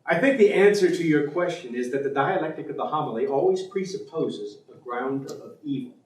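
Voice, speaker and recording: A man's voice: fairly high pitch, lively intonation, a little fast, lightly acted. An actor playing a learned, skeptical male professor in a staged play reading, speaking tersely and formally. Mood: dry, lecturing. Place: theatre stage. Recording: echoey stage recording from far off.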